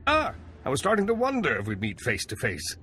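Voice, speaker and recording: An actor playing a smug, menacing noble villain in a video game, theatrical and taunting. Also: male voice, medium pitch, huge pitch swings, fairly quick, bright, forceful tone, soft background music. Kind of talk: scripted video game acting.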